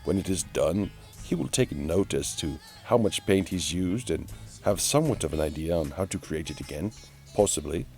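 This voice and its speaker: A man's voice: deep voice, animated, a little mellow, soft background music. A man voicing a flamboyant, theatrical announcer who muses grandly and teasingly in a mock play-by-play for an online video. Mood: wry musing. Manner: grandiose, drawn-out, musing aloud, mock-dramatic.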